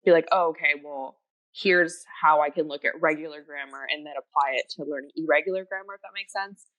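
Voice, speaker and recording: A woman's voice: deep voice, steady tone, brisk, dull audio. A woman whose first language is Japanese, chatting enthusiastically as a podcast guest with lots of fillers. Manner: rambling, enthusiastic, many fillers and restarts. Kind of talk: casual chat.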